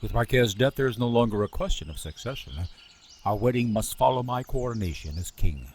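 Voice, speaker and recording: A man's voice: mid-range voice, animated, brisk, darkish tone, distant mic, a little hiss or hum, natural voice. An actor playing an arrogant young prince hungry for the throne in a radio drama, imperious and menacing as he declaims. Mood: haughty.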